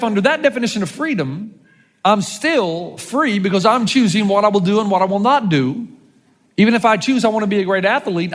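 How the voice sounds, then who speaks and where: Man in his 50s, pastor, lively sermon, very expressive pitch, long hall echo. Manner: animated, jokey preaching with rhetorical questions.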